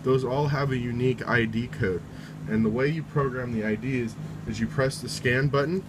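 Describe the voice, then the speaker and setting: A man's voice: medium pitch, normal speed, background noise. A man giving a casual, step-by-step how-to in an informal way, often reiterating each step. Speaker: tutorial presenter.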